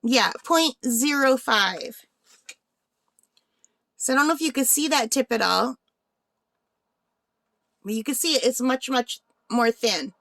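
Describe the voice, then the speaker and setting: A woman's voice: high-pitched, lively intonation, slow pace, creaky, long pauses, fairly bright tone, no room sound. A woman hosting a craft unboxing vlog in a casual, chatty way, thinking aloud, with a slightly tired, under-the-weather voice. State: slightly unwell, voice tiring. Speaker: craft channel host.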